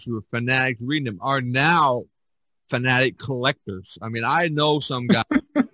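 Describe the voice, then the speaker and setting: A man hosting a podcast in casual chat, speaking haltingly and repeating his phrases. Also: mid-range voice, pushed and bright, dry recording, rough recording. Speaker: podcast host.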